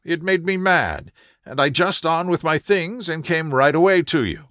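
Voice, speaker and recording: A man's voice: on the high side, moderate pace, a bit bright, dry recording, pitched above the performer's own voice, lightly acted. A man voicing a nervous, earnest, good-humored young woman in an audiobook story, with an anxious, confiding manner. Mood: indignant, anxious.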